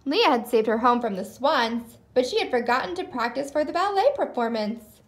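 High, animated woman reading a storybook aloud. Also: moderate pace. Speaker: storybook reader, channel host. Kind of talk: animated children's read-aloud narration.

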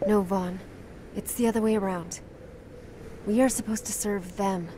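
A woman's voice: medium pitch, steady tone, moderate pace, warm tone, slight background noise, lightly acted. A woman playing a principled young heroine in a fantasy game, speaking earnestly with composed firmness. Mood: earnest pleading. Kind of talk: dramatic fantasy game voice acting.

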